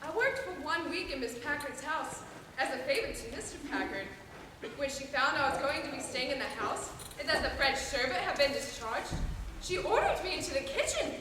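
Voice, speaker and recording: High-pitched indignant woman witness, played on stage, prim, formal, distant noisy echoing recording. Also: sing-song, moderate pace. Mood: prim, affronted. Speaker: student stage actor. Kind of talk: high school stage play acting. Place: large indoor stage, far mic. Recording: reverberant noisy hall, distant mic.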